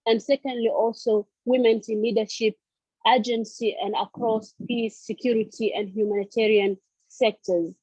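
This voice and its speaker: A woman from South Sudan presenting on a video call as a civil society panelist, speaking haltingly with many fillers. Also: on the high side, fairly even intonation, mellow, husky, no room sound. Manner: halting, with frequent fillers and restarts. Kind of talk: online meeting presentation. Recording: dull lo-fi call audio.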